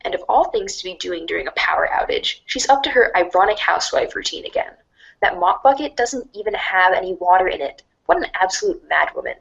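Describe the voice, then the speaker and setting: A woman reads as a storybook narrator with a strongly varied, lively pitch. Her delivery is scripted, theatrical and wry, at a mid-range pitch. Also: a little fast, gravelly, lo-fi sound.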